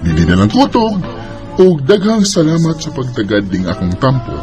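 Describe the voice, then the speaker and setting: A man's voice: mid-range voice, wildly swooping pitch, normal speed. An actor playing a lovestruck young man in a sentimental radio drama, hesitant and tender in his delivery. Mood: reflective. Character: lovestruck young man secretly in love with his best friend. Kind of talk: sentimental radio drama acting with inner narration. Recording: background music under the voice.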